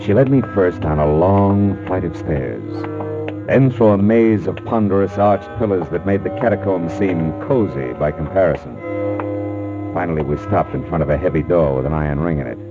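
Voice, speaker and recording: Deep-voiced, hardboiled American private eye. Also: male voice, moderate pace. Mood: grim, wry narration. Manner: wisecracking, slangy. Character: wry, tough male private detective narrating his case. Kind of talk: 1940s radio acting, hardboiled detective narration. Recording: dull vintage radio recording, music behind.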